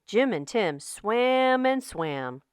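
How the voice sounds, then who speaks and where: A woman storyteller reads to young children at an unhurried pace, with a very lively, swinging pitch. The delivery is scripted and expressive, and the sound is close and dry. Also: clean tone, no echo. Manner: slow, animated, deliberate storytelling delivery.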